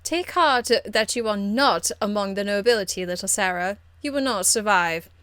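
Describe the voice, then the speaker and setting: A woman's voice: mid-range voice, normal speed, a bit bright, dry recording, natural voice. Haughty noblewoman mage for a fantasy game played by a woman: formal, condescending, icily courteous, dry close recording. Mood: cold condescension. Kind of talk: video game character acting.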